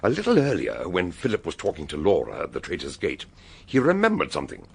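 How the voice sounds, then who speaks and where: An actor playing a shrewd older British detective in a radio drama, with an expansive, knowing, theatrical manner. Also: male voice, low voice, huge pitch swings, fast, gravelly, slightly rough, heavily acted.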